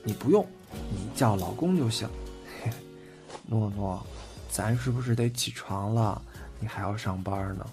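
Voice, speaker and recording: An actor playing a teasing, boyish, devoted twenty-year-old boyfriend in intimate audio drama banter, in a colloquial way. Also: male voice, lowish voice, unhurried. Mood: sweet, teasing. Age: young adult. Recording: background music under the voice.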